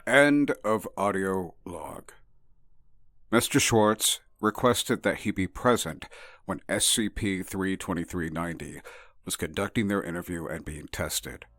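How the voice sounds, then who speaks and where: An actor playing a detached, formal research official in an audio drama, speaking in a measured, clinical way. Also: male voice, low-pitched, a bit bright, no echo. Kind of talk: scripted audio-log acting.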